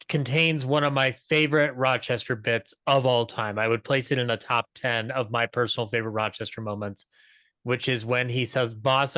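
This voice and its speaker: Young male podcast panelist, bright forward voice, dry close sound, chatty and enthusiastic. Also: mid-range voice, no echo. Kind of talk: conversational show commentary.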